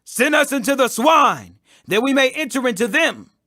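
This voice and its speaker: Man acting out a loud, high, tormented demon. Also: lively intonation, normal speed, heavily acted. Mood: pleading. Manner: crying out, imploring. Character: tormented legion of unclean spirits, pleading loudly.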